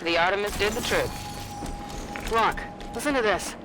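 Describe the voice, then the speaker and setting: A woman's voice: mid-range voice, lightly acted. Woman acting an alert female soldier. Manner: brisk military radio-report delivery. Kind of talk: video game cutscene acting. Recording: loud music and battle noise behind.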